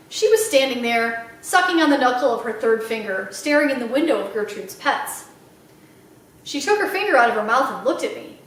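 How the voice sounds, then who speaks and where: A woman reading a children's book aloud as an expressive storyteller. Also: sits high, recorded from a distance. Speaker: library read-aloud storyteller. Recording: very echoey room, away from mic.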